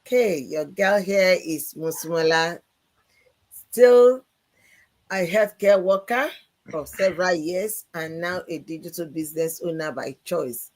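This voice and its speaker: Slow-talking woman. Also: on the low side, a little mellow, poor audio. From Nigeria. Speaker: co-host. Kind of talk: live-stream promo chat.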